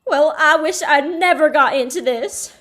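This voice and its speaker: A woman's voice: sits high, moderate pace, fairly bright tone. A woman playing an uneasy gun moll in a radio play, plaintive and questioning. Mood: pleading, uneasy. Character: nervous young gun moll Marlene, uneasy female accomplice. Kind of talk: vintage radio drama acting.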